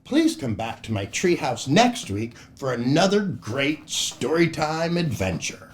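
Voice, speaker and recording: Animated older man with a storyteller's lilt. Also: fairly high pitch, unhurried, over music. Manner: animated, theatrical, addressing young listeners directly. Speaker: children's storytime host and entertainer. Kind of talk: rhyming read-aloud narration and cheery chat to children.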